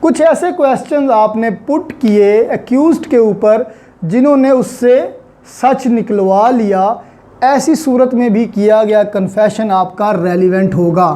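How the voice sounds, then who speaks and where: A man's voice: high voice, unhurried, mellow. A man with a Pakistani accent giving an explanatory law lecture, didactic, restating his points and mixing in English terms.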